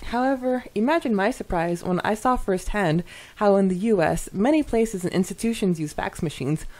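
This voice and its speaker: A woman podcast co-host reading out listener comments in an expressive, conversational way with casual asides. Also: medium pitch, normal speed.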